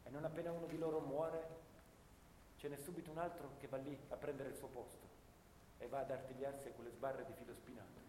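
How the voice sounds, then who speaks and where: A man's voice: medium pitch, fairly even intonation, brisk, close to an everyday voice. Echoing haunted soldier, acted by a man in radio drama. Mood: weary, pitying. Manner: dramatic first-person storytelling, swinging from hushed to intense. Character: young male First World War soldier, haunted, bitter, anguished. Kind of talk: theatrical radio drama monologue. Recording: roomy, long reverb, clear background noise.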